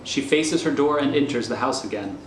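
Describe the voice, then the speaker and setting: Guy narrating a screenplay, deliberate storyteller, normal pitch, echoey with a bit of hiss. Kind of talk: scripted narration of stage directions. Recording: echoey room with faint noise.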